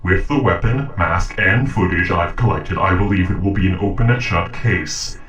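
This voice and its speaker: Guy doing a earnest robot captor for audio roleplay, really deep, polite n precise, sounds distant and echoey. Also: fairly bright tone, highs cut off. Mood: firm, reasoning. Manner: formal, measured, polite, precise. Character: calm, earnest, logical young sentient robot captor. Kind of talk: scripted audio roleplay drama.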